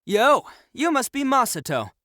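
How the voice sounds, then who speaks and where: A man's voice: extremely high pitch, sing-song, unhurried, sharp tone, no echo, clean studio sound, exaggerated voice. An actor playing a brash, good-hearted young male oni gang leader in an anime video game, talking in a slangy, swaggering way. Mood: businesslike, casual.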